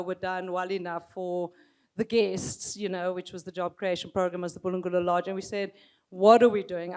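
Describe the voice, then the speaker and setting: Loud, clear-voiced woman panelist. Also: fairly low pitch. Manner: earnest, repeats words when searching. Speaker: panelist, nonprofit co-founder. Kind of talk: panel storytelling.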